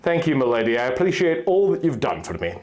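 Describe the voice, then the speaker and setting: A man's voice: medium pitch, very animated, quick pace, darkish tone, natural voice. A man voicing a stern, courteous griffin with formal, stately speech in a fantasy story reading. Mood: grateful.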